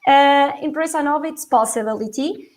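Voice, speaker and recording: A woman with a Portuguese accent, a municipal representative speaking hesitant, halting English on an online panel. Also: high-pitched, darkish tone, soft background music. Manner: halting non-native English, laughs often.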